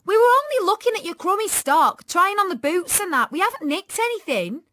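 A woman voicing a defiant, streetwise teenage girl in an audiobook story, fierce, loud and slangy in her protectiveness. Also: fairly high pitch, a little slow, dry recording, studio quality, pitched above the performer's own voice. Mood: fierce, indignant.

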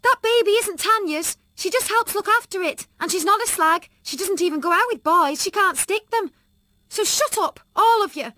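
A woman voicing a timid young schoolgirl with a high, earnest, pleading voice. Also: very animated, a little slow, a bit bright, no echo, clean studio sound, heavily acted. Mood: fierce.

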